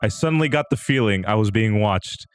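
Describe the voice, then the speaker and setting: A man's voice: fairly low pitch, lively intonation, fairly quick, over music. A man livestreaming a dramatic reading of an amateur horror story. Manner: breaks off into amused asides.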